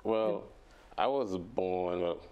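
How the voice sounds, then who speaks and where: Brief: young man, very low and gravelly voice, halting and laughing as a radio guest. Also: a little mellow, lo-fi sound. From British Virgin Islands. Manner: halting, laughs often, many fillers. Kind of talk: casual radio interview. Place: radio studio.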